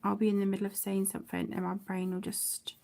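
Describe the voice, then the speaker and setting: A middle-aged woman chatting casually on a livestream, affectionate and apologetic, with phrases that trail off softly. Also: mid-range voice, monotone, fast, creaky. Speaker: livestream host of an adult colouring channel. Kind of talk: casual livestream chat while colouring.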